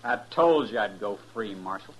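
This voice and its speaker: Low-voiced, unrepentant army deserter. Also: male voice, animated, normal speed, husky. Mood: smug. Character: unrepentant army deserter, private under arrest. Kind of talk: old-time radio western acting. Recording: noisy old radio recording.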